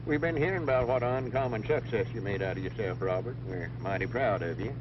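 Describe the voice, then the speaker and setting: A man's voice: on the low side, a little fast, close to an everyday voice. An actor playing a plainspoken, folksy country man in a 1940s comedy. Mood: folksy, earnest. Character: plainspoken, folksy backwoods man, bossy with his partner. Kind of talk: 1940s comedy film acting. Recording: muffled, noisy vintage film soundtrack.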